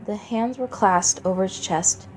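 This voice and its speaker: A woman's voice: mid-range voice, a little slow, soft background music, low-quality recording. A woman narrating a dramatic story from a script as a fan fiction reader.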